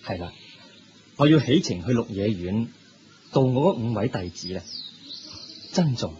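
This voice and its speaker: Man playing a very expressive, wise Buddha. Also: medium pitch, measured pace, background noise, close to an everyday voice. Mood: kindly, instructive. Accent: Hong Kong Cantonese. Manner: didactic, courteous. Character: wise, kindly Buddha telling moral tales to travellers. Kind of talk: Cantonese Buddhist radio drama acting. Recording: dull lo-fi recording. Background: prominent music.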